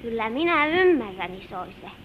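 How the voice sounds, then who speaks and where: A woman's voice: a little high, sing-song, phone-quality sound. A female performer playing an eager, curious little girl who earnestly joins in her grandfather's stories in an old-time radio drama. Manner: childlike, eager, earnest, interjecting. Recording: noisy vintage radio recording.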